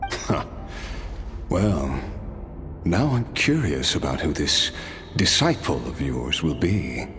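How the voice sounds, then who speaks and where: A hardened immortal fighter looking back on his life. He speaks slowly, in a low, measured voice. Faint music plays behind him. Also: big pitch swings, a bit bright, exaggerated voice. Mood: reflective. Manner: slow, reflective storytelling. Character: hardened, immortal man recalling his past. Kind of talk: video game voice acting.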